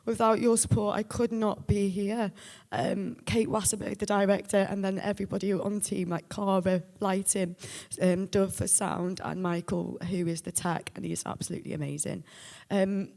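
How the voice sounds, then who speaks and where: Woman, husky mid-range voice, rambling and joking acceptance speech, long hall reverb. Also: medium pitch, steady tone, moderate pace, darkish tone. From Rhyl, North Wales. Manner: candid, joking, breathless rambling. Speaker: award winner, writer and performer. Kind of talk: emotional off-the-cuff acceptance speech. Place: stage at an awards ceremony in a hall.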